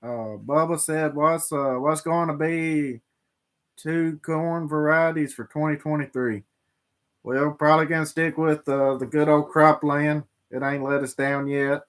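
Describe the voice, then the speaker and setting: A man, a farmer co-hosting a livestream and reading out viewer questions in casual chat. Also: a little high. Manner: drawling, relaxed, halting with fillers.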